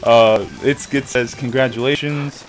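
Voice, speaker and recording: A young man with a slightly raspy voice, hosting a livestream and reading out viewer comments in casual chat. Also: mid-range voice, fairly even intonation, unhurried, rough recording. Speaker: livestream host. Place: indoor room at home. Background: game music.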